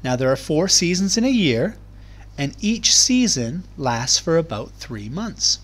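Higher-pitched man teaching young children. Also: sing-song, unhurried, fairly bright tone. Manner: clear, simple explaining addressed to young children. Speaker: primary school teacher. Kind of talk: children's read-aloud lesson.

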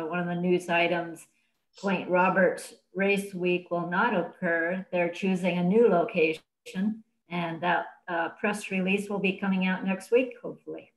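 A North American woman in her sixties gives updates in a fairly low voice. She speaks as a guide editor on a video call, and the room adds a clear echo. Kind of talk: informational panel update.

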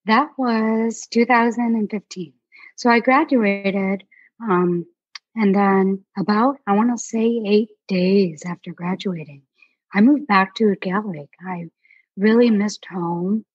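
A young woman from Alaska, an artist chatting as a guest in a relaxed, rambling way with many fillers. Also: mid-range voice, slow, slightly rough, no room sound, muffled recording. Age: young adult. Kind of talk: casual interview chat.

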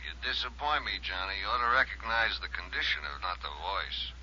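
A man's voice: low voice, lively intonation, fairly quick, pushed and bright, a little hiss or hum, exaggerated voice. An actor playing a cynical, drunken male newspaper reporter in a 1950s radio drama, talking in a sardonic, evasive way. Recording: dull old radio transcription.

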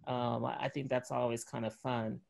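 A woman's voice: very deep voice, flat delivery, moderate pace, vocal fry, darkish tone. A woman in her forties moderating a panel from home over a video call, chatty and full of fillers. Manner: chatty, many fillers, laughs. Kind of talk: casual panel discussion. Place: home room, indoors. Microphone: video call webcam.

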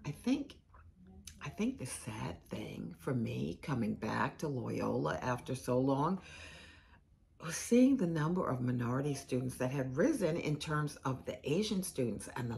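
An actress plays an older American woman who works as a law school administrator, candid and caring. Her voice is low and creaky, with lively swings in pitch. She talks emphatically and conversationally, restarting phrases as she goes, as in a dramatized first-person monologue. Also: a little hiss or hum, full character voice. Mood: troubled, reflective. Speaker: performer in a dramatized narrative. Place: small indoor room with bookshelves.

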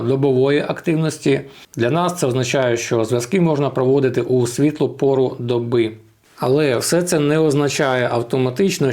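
Creaky-voiced man explaining a radio hobby. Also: mid-range voice, darkish tone. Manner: informal, explains step by step. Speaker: amateur radio vlogger. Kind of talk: explanatory tutorial narration.

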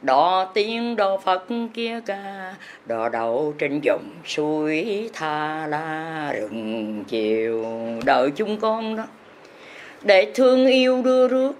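A woman chants her teaching in long, sing-song verse lines. She speaks very slowly in a fairly low voice and presses her listeners to change their ways. Faint noise sits under the recording. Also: sing-song, a little mellow. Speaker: religious teacher.

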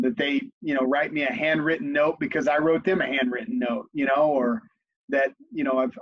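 A man in his forties, a company CEO and guest, talking reflectively on a video call and often repeating words as he thinks. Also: fairly high pitch. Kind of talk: conversational interview. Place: home office on a video call. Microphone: video call webcam. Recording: dull, lo-fi video call audio.

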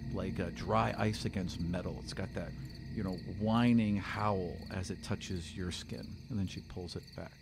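A man's voice: lowish voice, gravelly, heavy background noise. A man in his thirties narrating a tabletop game as game master, descriptive and searching for words, on a close studio mic. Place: small podcast studio. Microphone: close stand microphone.

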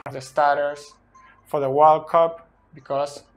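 A man in his thirties, speaking English as a second language, slowly explains football tactics at a whiteboard in a small room, often checking in with "okay?", recorded close on a phone. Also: on the high side, little pitch movement. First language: not English. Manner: slow, deliberate, frequent "okay?" checks. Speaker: football analyst. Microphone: phone camera close up.

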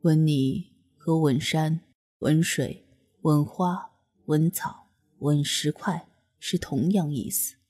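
A woman voicing a serene, aloof male mountain deity in an audiobook, speaking slowly, flatly and with detachment. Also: deep voice, pitched below the performer's own voice. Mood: detached. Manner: slow, flat and detached.

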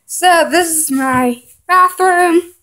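Woman, high voice, very slow, halting with fillers, lists items one by one, home tour vlog, handheld phone indoors. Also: sharp tone. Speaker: vlogger giving a home tour. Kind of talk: casual home tour narration. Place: house rooms, indoors. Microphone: handheld phone camera, filming while walking.

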